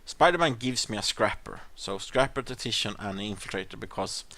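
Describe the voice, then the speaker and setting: A man's voice: fairly low pitch, animated, a little fast, gravelly, sharp tone, dry recording. A man from Sweden with a Swedish accent, casually commenting on his game as he plays, with lots of fillers and restarts. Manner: rambling, hesitant, many fillers and restarts. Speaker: gaming channel host.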